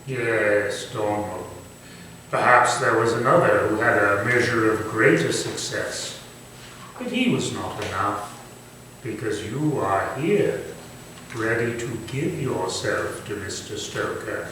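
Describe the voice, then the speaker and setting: Man acting a slow, menacing Victorian gentleman villain. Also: on the low side. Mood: quietly menacing. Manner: formal, deliberate, theatrical delivery. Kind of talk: staged reading of a Victorian thriller. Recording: distant, echoey stage recording with faint noise.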